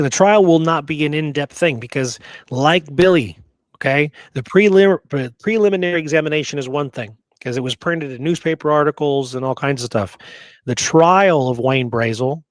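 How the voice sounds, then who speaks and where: A man's voice: on the high side, no room sound. A man hosting a casual podcast, recounting history in a colloquial, emphatic way and often checking that the listener follows. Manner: colloquial, emphatic, punctuates points with check-in questions. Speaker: podcast host and history researcher.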